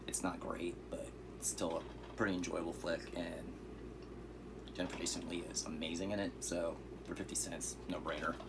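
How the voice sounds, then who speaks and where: A man with a very low, hoarse voice runs through a pile of cheap movie finds, easygoing and rambling, with fillers along the way. There is clear steady noise behind him. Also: normal speed. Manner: relaxed, rambling, with fillers and asides. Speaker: vlogger showing thrift finds. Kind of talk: casual vlog.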